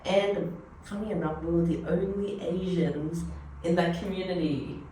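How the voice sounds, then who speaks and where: Australian female podcast host, bubbly and teasing; deep voice, in a very echoey space with light hiss. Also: fairly even intonation, unhurried, mellow. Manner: bubbly and joking, laughs easily. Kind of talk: casual conversational interview. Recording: echoey room, mic at a distance, faint noise.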